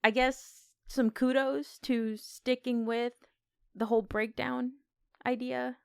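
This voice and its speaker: A woman's voice: fairly high pitch, little pitch movement, slow, creaky, smooth, no room sound, clean studio sound. A woman co-hosting a podcast, discussing film in a casual, conversational way. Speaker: podcast co-host.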